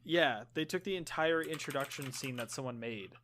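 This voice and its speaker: A man's voice: medium pitch, normal speed, over a noisy crowd. Joking man on a gaming podcast. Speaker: podcast co-host. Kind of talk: casual gaming chat.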